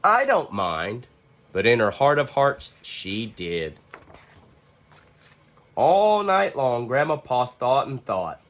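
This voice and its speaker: Slow, highly animated male storybook reader. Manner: expressive storytelling with deliberate pauses. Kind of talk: children's read-aloud narration.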